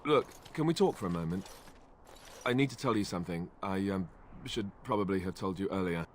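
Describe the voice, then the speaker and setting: An actor playing a bumbling, self-mocking young warrior with a wry, halting manner. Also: male voice, sits low, a little hiss or hum, natural voice. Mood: sheepish.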